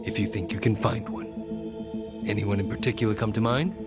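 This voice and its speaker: An actor playing a cold, ambitious younger politician in an English game dub, measured, commanding and condescending, with threat in his manner. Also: on the low side, lightly acted. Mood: coldly threatening. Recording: loud background music.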